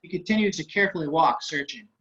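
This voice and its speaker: Male stage-directions reader, slightly high voice, scripted narration, lo-fi online call sound. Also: animated, quick pace, a bit bright, breathy edge. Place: indoors, on a video call. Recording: dull video-call audio.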